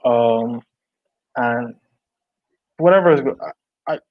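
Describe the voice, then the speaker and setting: A man's voice: medium pitch, takes it slowly, narrow, tinny audio. A young man from Barbados, an artist, talking over a video call in a small room at home, earnest and reflective, often restarting his sentences with "like". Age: young adult. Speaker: artist guest. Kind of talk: casual video call interview. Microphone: earbuds on a video call.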